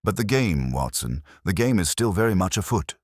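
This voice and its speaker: A man plays a razor-sharp Victorian detective in an audiobook. The voice is very deep, cold and composed, with formal phrasing and a dry sound. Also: sing-song, normal speed, dry recording. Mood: cold, resolute.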